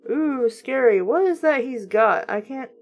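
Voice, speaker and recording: A woman with a fairly high voice reads a children's book aloud with some music behind her. She is informal and chatty, dropping in wry, skeptical asides as host and reviewer. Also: lively intonation, unhurried. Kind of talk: casual read-aloud with offhand commentary.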